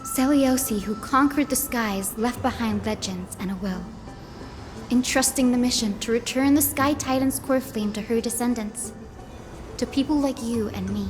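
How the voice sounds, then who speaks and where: A woman playing a gentle, earnest young female healer in a video game, speaking in a solemn, polite and formal manner. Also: fairly high pitch, normal speed, close to an everyday voice. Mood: resolute. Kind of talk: scripted video game voice acting. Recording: game audio with background music. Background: music.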